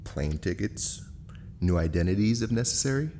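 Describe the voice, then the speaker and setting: A man's voice: lowish voice, expressive, normal speed, background noise, lightly acted. A man acting a flippant, insolent military operative who answers questions in an offhand way. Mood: flippant, irritated. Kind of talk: screen drama acting.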